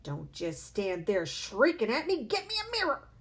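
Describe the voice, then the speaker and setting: A woman voicing a blustering, vain father who yells indignantly in a storybook reading. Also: fairly high pitch, wildly swooping pitch, normal speed, voice pushed up, full character voice. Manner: yelling, indignant. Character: blustering angry middle-aged father, vain car salesman. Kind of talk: read-aloud storybook acting.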